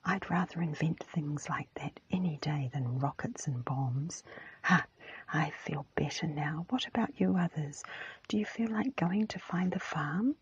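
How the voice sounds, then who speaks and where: A woman voicing a polite, confident, cheerful schoolboy in a storybook, in a breathy whisper. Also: sits low, a little slow, dry recording, highs cut off, exaggerated voice. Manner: polite, good-humoured.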